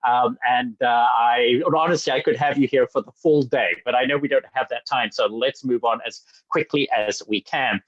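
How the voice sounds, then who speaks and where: An eager man from Zambia presents with bubbly enthusiasm and a steady mid-range pitch. His speech is full of little fillers and restarts. The sound is dry and a bit dull, like a lo-fi web call. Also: no echo. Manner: energetic, fast-talking, frequent fillers and restarts. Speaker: sales director presenting.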